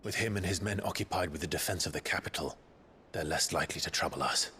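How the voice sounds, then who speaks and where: An actor playing a brooding, determined rebel leader in a video game, solemn and measured. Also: male voice, sits low, bright, forceful tone, slight background noise. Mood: grave resolve. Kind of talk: dramatic video game cutscene acting. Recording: cinematic music behind the voice.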